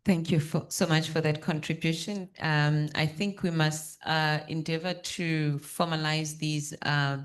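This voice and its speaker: A woman moderating a panel, her voice deep and low for a woman. She talks in formal phrasing, often pausing with "um" and "uh" as she gathers her thoughts. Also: steady tone, clear, resonant tone. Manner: halting, many fillers, formal phrasing.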